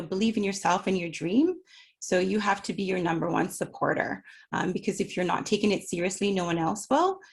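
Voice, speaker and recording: A woman's voice: medium pitch, creaky. A middle-aged woman business owner speaking remotely at a virtual event, telling her story in a chatty, personable way with lots of "you know" fillers. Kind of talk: conversational talk about her business journey. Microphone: remote call for a virtual event.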